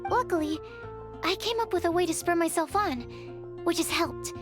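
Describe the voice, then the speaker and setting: A woman's voice: very high voice, moderate pace. A woman playing a shy, earnest young alchemist in a video game, with polite, precise, scholarly phrasing. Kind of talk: video game character voice acting. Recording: background music under the voice.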